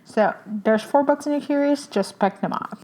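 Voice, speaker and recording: A woman's voice: sits high, normal speed, warm tone. Chatty woman host. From Puerto Rico. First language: Spanish. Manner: enthusiastic, chatty, many fillers. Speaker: podcast host. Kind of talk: casual book recommendations.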